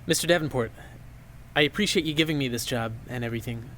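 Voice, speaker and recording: A man's voice: mid-range voice, quick pace, a bit bright, a little hiss or hum, close to an everyday voice, poor audio. An actor playing a nervous male archivist who sounds anxious and defensive. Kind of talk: audio drama acting.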